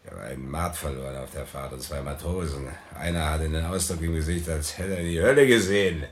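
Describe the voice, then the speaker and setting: An actor in a German radio play, playing a bitter, hard-drinking sea captain who curses and mocks. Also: male voice, a bass voice, brisk, slight background noise. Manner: sardonic, cursing.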